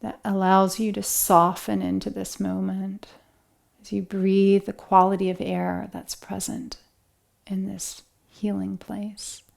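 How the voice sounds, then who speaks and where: A woman leading a guided relaxation meditation as an instructor, speaking in a gentle, soothing, unhurried manner with long pauses. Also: mid-range voice, little pitch movement, creaky.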